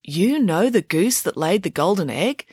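A woman's voice: fairly low pitch, expressive, normal speed, darkish tone, husky, no room sound, studio quality. A woman voicing an innocent, puzzled schoolboy in a children's bedtime story, in a plain questioning manner.

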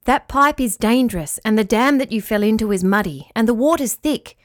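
A woman's voice: fairly high pitch, a little fast, no room sound, studio quality, close to an everyday voice. A woman voicing Shaggles, a kindly but firm male creature who looks after the fish. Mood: stern. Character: gentle, caring male water creature, friend to fish.

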